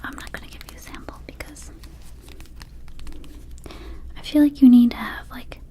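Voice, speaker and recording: A woman voicing a small, laid-back, slightly shady vampire dispensary keeper in an ASMR roleplay, whispering softly in a rambling, casual sales patter. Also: moderate pace, close to an everyday voice. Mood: rambling, cautioning. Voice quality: soft, breathy whisper.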